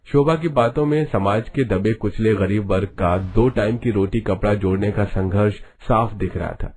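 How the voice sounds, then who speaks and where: A man's voice: fairly low pitch, moderate pace, darkish tone, slight background noise. A man narrating a scripted cartoon story.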